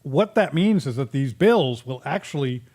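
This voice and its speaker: A man's voice: mid-range voice, expressive, moderate pace, a little mellow. A man from Massachusetts hosting a political talk podcast, joking sarcastically and restarting his sentences as he talks. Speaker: podcast host. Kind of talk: casual political chat.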